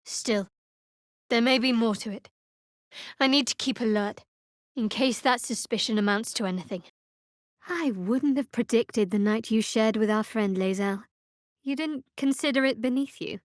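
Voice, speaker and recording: A woman playing a guarded, sardonic young cleric of a dark goddess in a video game, with a dry, wry delivery. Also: fairly high pitch, no echo, close to an everyday voice. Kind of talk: dramatic video game voice acting. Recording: very dry close studio recording.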